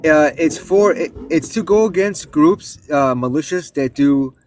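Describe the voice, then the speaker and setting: A man in an online debate, arguing forcefully and exasperatedly, often restarting his sentences. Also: on the high side, unhurried, noisy background. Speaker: debate participant. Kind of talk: casual live-stream debate.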